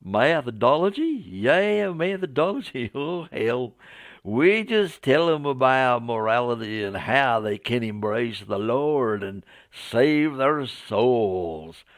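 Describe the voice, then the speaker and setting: A man's voice: mid-range voice, animated, slow pace, no echo, clean studio sound. A man voicing a zealous, naive Southern American missionary in a told story, with a folksy, preachy drawl. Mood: earnest zeal.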